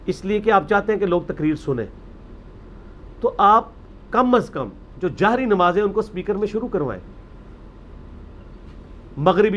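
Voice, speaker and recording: High-voiced man lecturing emphatically. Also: lo-fi sound, slight background noise. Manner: argumentative, emphatic, rhetorical questions. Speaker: religious speaker. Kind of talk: lecture on religious practice.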